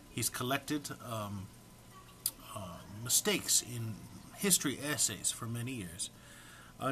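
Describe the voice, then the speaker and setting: Man, bright clear-edged voice, amateur reader, informal, mock-solemn, holding back laughter, faint background noise. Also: mid-range voice. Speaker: amateur reader recording for an online post. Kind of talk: informal introduction, then reading comic text aloud.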